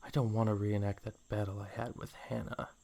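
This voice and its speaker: A man's voice: lowish voice, little pitch movement, gravelly, mellow. A man voicing a possessive, seductive naga serpent hypnotist in a fan audio drama, coaxing his lover with a theatrical villain purr. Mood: brooding, scheming. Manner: slow, coaxing, theatrical villain purr, often near whispering.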